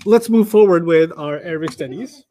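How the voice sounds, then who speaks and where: A man's voice: a little high, moderate pace, warm tone. Chatty man, Arabic teacher. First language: German. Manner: chatty, storytelling, laughs often. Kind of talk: casual teaching chat with anecdotes.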